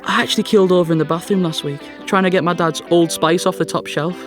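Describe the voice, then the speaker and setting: An actor playing a sickly, self-pitying teenage schoolboy with a North East English accent in an audio drama monologue, glum and sardonic. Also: male voice, high voice, fairly even intonation, fast talker, over music, close to an everyday voice. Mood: miserable, self-pitying. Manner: glum, complaining, melodramatic.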